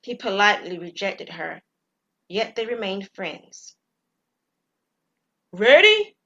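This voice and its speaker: A woman storyteller narrating an audio drama story in a measured, clear reading with gentle suspense. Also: mid-range voice, highs cut off.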